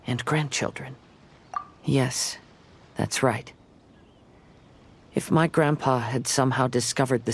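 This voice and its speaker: A man playing an earnest, composed young samurai in an anime-style game, speaking in a solemn, gentle, reflective way. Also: mid-range voice, creaky.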